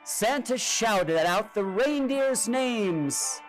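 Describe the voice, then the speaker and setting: Slow, highly expressive male storyteller, high-pitched. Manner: theatrical, expressive, with drawn-out pauses. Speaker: storyteller reading a picture book aloud. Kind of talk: dramatic children's storytime narration. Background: music and sound effects.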